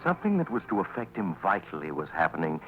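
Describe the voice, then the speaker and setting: Creepy teasing radio storyteller, really deep, swooping voice, music behind, muffled old broadcast. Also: male voice, wildly swooping pitch, quick pace. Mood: suspenseful. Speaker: host and narrator. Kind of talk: 1940s radio suspense narration.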